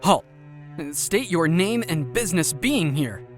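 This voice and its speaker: A man's voice: a little high, animated, takes it slowly, faint music behind. A man playing an earnest, obedient young cult guard in an audio drama, nervous and deferential. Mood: alarmed.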